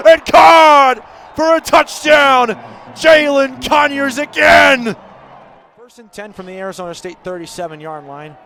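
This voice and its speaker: A man's voice: high-pitched, a bit bright. An American man calling college football play-by-play live on the radio from a stadium. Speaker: play-by-play radio sports commentator. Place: football stadium broadcast position. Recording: outdoor stadium background noise.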